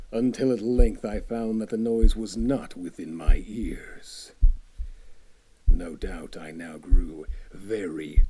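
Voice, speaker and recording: Guy reading a horror classic real slow, low husky voice, goes all whispery then worked up. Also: low-quality recording. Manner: theatrical, dropping to hushed whispers and building to intense outbursts. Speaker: storyteller.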